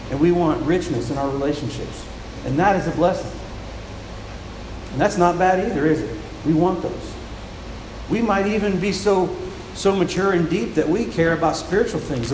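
Soft-spoken pastor in an echoing hall. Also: male voice, high voice. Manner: soft-spoken, with frequent repeated words and restarts. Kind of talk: sermon with scripture reading. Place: church with a large echoing room. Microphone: handheld mic. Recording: echoey, reverberant hall with noise.

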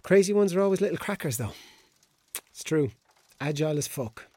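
A woman playing a blunt, sweary, hungover young character in a radio drama, halting and exasperated as nerves take over. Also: deep voice, lively intonation, warm tone, a little hiss or hum. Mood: awkward, placating. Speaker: actor in an audio drama. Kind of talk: naturalistic radio drama acting.

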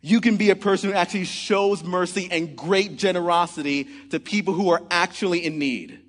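Earnest forties pastor preaching in a hall. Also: male voice, high voice, fairly quick. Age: 40s. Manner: earnest preaching, often starting with "man" and "look". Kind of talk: sermon.

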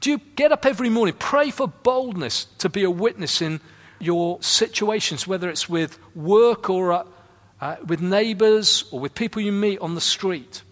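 A man with a fairly high voice preaches an earnest sermon, urging his listeners with question after question. His voice carries a long reverb, as in a church hall. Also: moderate pace.

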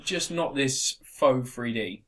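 A man's voice: medium pitch, animated, slow pace, a bit bright. Guy co-hosting a gaming podcast, mid-range voice, loose informal chat, bit sweary. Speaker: podcast co-host.

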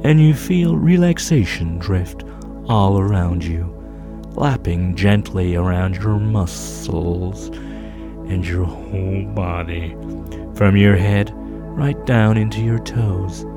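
A man voicing a soothing hypnotherapist who leads a slow, hushed guided relaxation in comic parody. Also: low-pitched. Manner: slow, hushed, calming guided-imagery delivery. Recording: new-age music bed behind voice. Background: soft new-age music.

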